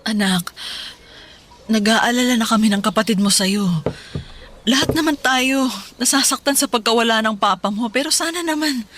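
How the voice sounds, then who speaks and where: An actress playing a gentle, worried Filipina mother in a radio drama, soothing and pleading. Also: fairly high pitch.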